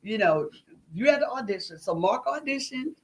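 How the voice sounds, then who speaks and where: A woman's voice: fairly high pitch, animated, moderate pace. A middle-aged woman talking conversationally as an interview guest, with an emphatic, folksy storytelling manner. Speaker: playwright and director, interview guest.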